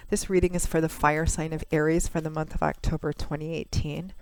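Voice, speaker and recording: A woman tarot reader, hoarse from a cold, talks through a monthly reading in a measured, conversational way with frequent pauses and fillers. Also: low-pitched, flat delivery. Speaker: tarot reader, channel host.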